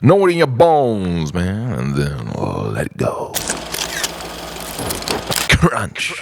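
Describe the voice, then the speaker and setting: A man delivers a dramatic spoken word piece in slow, weighted phrases. His voice is gravelly with fry and moves across a huge range between hushed and forceful delivery. The sound is dry and close. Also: fairly low pitch, huge pitch swings, dry recording. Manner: dramatic, swinging between hushed whispers and shouted outbursts; short, deliberate phrases with heavy pauses. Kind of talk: theatrical spoken word poetry monologue.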